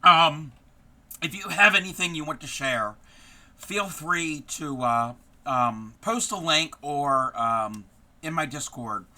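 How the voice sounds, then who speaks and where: A hoarse man who is losing his voice, hosting a casual live stream and chatting warmly with viewers by name, with many ums. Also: on the high side, slow pace. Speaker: craft live-streamer host.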